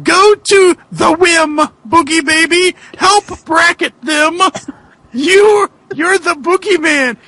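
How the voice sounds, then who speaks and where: A piercingly high, sugary young woman, acted out by a man in falsetto, pleads with her beloved in a comic reading. The words come slowly, drawn out into coos and wails, with a bright, strident edge. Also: no room sound, full character voice. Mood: tearful, loving. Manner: drawn-out, cooing, wailing falsetto delivery. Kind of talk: comic dramatic reading of fan fiction.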